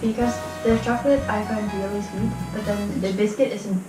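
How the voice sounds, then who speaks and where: A woman's voice: mid-range voice, steady tone, normal speed. A seventeen-year-old young woman from Norway, a native Norwegian speaker, chatting casually as a vlog guest. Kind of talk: casual chat. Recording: echoey room, distant mic, music underneath.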